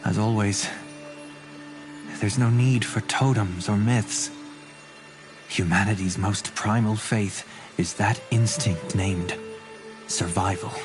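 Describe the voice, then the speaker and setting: A man playing a grave, reflective warrior philosopher in a game, speaking in measured phrases. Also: on the low side. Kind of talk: dramatic game voice acting. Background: music.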